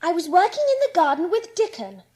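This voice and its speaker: A woman's voice: very high-pitched, sing-song, brisk, dark tone. A woman voicing a stiff, headstrong young girl, blunt and emphatic, in a dramatised audiobook. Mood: indignant, defiant. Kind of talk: dramatised audiobook character acting.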